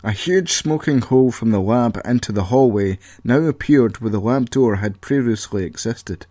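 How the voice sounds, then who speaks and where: A man's voice: mid-range voice, normal speed, mellow, no room sound. A man reading a scripted audio story as its narrator.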